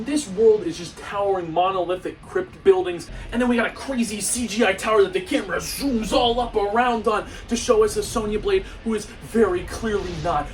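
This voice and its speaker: A young man with a high-pitched voice hosts a comedic movie review, picked up a little way from the mic. He is animated and sarcastic, breaking into exclamations as he goes. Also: far from the mic. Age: young adult. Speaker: video host, movie reviewer.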